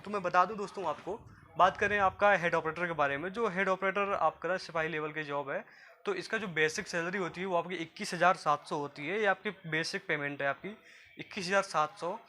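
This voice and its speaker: A man's voice: high-pitched, a little fast, sharp tone, a little hiss or hum. A man from gives an informal, repetitive explainer to viewers as a job guidance presenter. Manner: repetitive, explanatory, often addressing listeners as friends.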